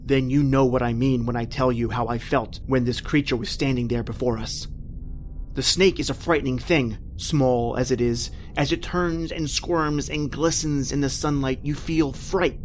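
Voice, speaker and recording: A man's voice: mid-range voice, fairly even intonation, moderate pace, slight background noise, close to an everyday voice. An actor playing an anguished married man trapped in prehistoric time, telling his horror in melodramatic old-time radio style. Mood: dread-filled. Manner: melodramatic, intense, repetitive narration.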